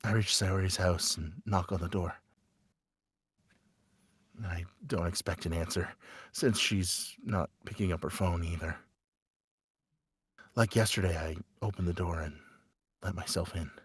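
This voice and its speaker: A man playing an anguished teenage schoolboy who narrates a visual novel fan dub in a halting, emotionally raw way. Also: deep voice, fairly even intonation, breathy edge, dry recording, clean studio sound. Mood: hesitant, uneasy.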